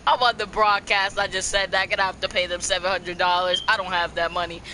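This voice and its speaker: A young man streaming a game, chatting casually and joking loudly with a friend. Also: sits high, little pitch movement, quick pace. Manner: loud, jokey, swings between shouting and mumbling. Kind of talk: casual gaming banter. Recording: noisy game audio behind.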